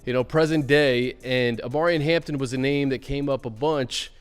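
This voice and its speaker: A man hosting a sports talk show, moving from an upbeat welcome into relaxed, conversational football analysis. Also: medium pitch, normal speed, clear, resonant tone, long reverb tail. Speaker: podcast host.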